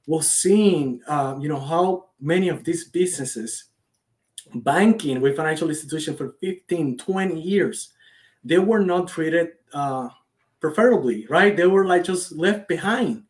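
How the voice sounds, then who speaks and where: A man with a Cuban accent and a slightly high voice answers as an interview guest. He is a native Spanish speaker, talking conversationally with earnest enthusiasm. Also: animated, unhurried. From Cuba. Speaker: guest, startup founder.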